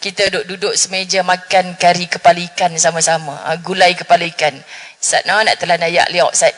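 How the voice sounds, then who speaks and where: Middle-aged woman preacher with a northern Malaysian Malay accent; bright, animated, playful with the crowd; long hall reverb. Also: fairly low pitch, fairly quick. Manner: chatty, jokes with the audience, Arabic phrases. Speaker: religious speaker. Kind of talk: lively religious forum talk. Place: mosque hall.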